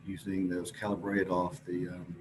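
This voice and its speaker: A man presenting scientific slides informally, explaining in a halting, hesitant way with pauses. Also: sits low, monotone. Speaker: presenter at an astronomy symposium. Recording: clearly noisy recording with background ambience.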